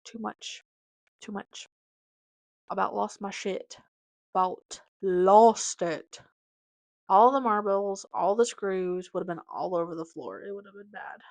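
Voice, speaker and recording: A woman's voice: mid-range voice, takes it slowly, no room sound. Chatty twenty-something woman, dry close sound. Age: 20s. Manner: chatty, animated, hops between topics with slang. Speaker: podcast host. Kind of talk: casual personal update monologue.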